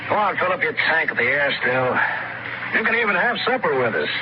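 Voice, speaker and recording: A gruff, suspicious frontier prospector, barking blunt, folksy orders in an old radio drama. His voice is fairly high for a man and moves up and down a lot. The recording is very noisy. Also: very animated, brisk, highs cut off. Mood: grudging. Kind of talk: 1950s radio drama acting.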